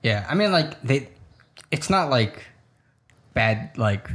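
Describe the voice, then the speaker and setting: A man co-hosting a movie review podcast in easygoing, joking casual chat, often agreeing with quick remarks. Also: mid-range voice, big pitch swings, a bit bright. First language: not Korean. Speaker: podcast co-host.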